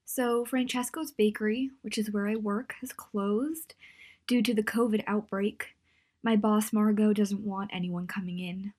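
A woman's voice: mid-range voice, steady tone, a little slow, darkish tone, natural voice. A young woman playing an anxious, lonely quarantine diarist in an audio drama. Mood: uneasy. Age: young adult. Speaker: student voice actor. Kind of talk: audio diary drama acting.

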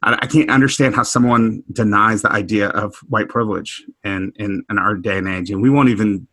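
A middle-aged man from Houston reflects aloud in a conversational discussion, often pausing and restarting his sentences. His voice is bright and a touch low for a man. The sound is close and dry, with no room around it. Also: steady tone, no room sound. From Houston, Texas. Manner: thoughtful, halting with frequent restarts. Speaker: discussion panelist.

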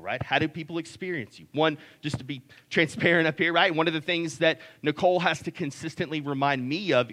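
A man, preaching a conversational sermon that is full of restarts and "right?" checks. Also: fairly bright tone. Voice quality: deep voice. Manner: conversational, repeats words, frequent "right?". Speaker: pastor.

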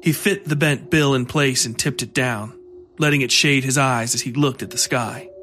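A man's voice: medium pitch, normal speed, pushed and bright, slight background noise. A man reading scripted horror fiction as narrator, with music playing under his voice.

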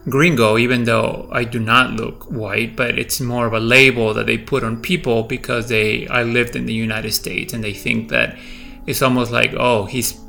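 A man's voice: mid-range voice, gravelly, bright, forceful tone. A middle-aged man from Peru with a slight Peruvian Spanish accent, Spanish his first language, hosting a podcast and reflecting personally in a hesitant way, with many fillers and restarts. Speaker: podcast host.

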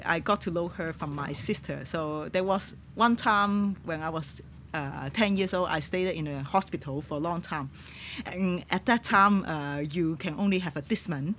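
A woman whose first language is Cantonese speaks English with a Hong Kong accent, in a lower register for a woman. She talks haltingly, pausing to find her words, as a guest on a music podcast. Faint noise sits behind her voice. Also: a bit bright. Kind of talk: podcast conversation. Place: on a boat in a harbour.

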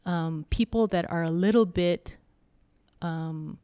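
A woman talking slowly and thoughtfully about faith and church life, pausing often with soft fillers. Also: on the low side, clear, resonant tone. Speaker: church member interviewee. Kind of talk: reflective conversation.

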